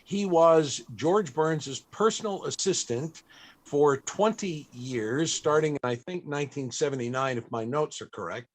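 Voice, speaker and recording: Older guy with a higher voice, hosting an interview panel, kinda halting, chuckles a lot. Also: poor audio. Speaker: panel host.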